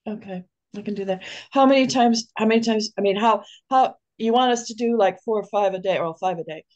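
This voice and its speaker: A woman's voice: medium pitch, fairly quick, darkish tone, lo-fi sound. A woman speaking hesitantly and modestly as a participant on a group coaching call. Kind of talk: casual talk on a group call.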